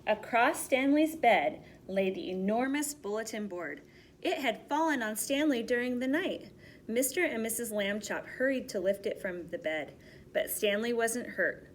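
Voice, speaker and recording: A woman reading a children's story aloud expressively, pausing to explain things simply to young listeners. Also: fairly high pitch, expressive, slight background noise.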